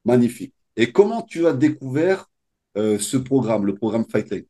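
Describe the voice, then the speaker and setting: A man hosting a testimonial interview as a coach, asking his questions in measured, formal phrasing. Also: mid-range voice, big pitch swings, warm tone.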